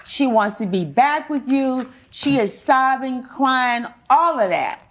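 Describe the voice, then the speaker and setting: A woman's voice: sits high, expressive, takes it slowly, fairly bright tone. A woman presiding as a TV court judge, questioning in an emphatic, folksy way and repeating phrases for effect.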